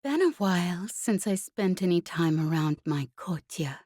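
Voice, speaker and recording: Woman reader as cocky female space smuggler, low, teasing, casual clipped delivery, audiobook. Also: lively intonation, measured pace, mellow, no room sound, studio quality. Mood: teasing, suggestive.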